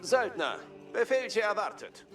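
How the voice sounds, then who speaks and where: A fierce, dutiful medieval soldier gives clipped game acknowledgements. The voice is high for a man and very animated, with music underneath. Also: moderate pace, full character voice. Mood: ready, dutiful. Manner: short martial acknowledgements. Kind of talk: video game unit voice lines.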